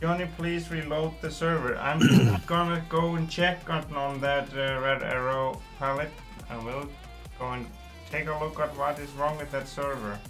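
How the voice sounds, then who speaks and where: A man's voice: fairly high pitch, steady tone, moderate pace, a bit bright, soft background music. A man hosting a casual gaming livestream, thanking viewers and reading chat aloud in simple, slightly phrasing. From Iceland. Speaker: livestream host.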